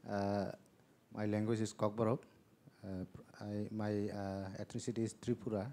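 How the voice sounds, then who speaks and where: A man from Bangladesh, introducing himself on a panel in a halting way with fillers. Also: fairly low pitch, flat delivery, dark tone, a little hiss or hum. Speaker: panelist, rights activist.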